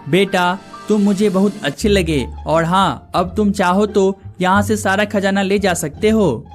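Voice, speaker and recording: A performer voices an old hermit guarding a cave in a cartoon moral tale. The delivery is solemn and formal, stern but kindly, at a medium pitch with music clearly underneath. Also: steady tone, a little slow, close to an everyday voice. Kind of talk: cartoon moral story dialogue.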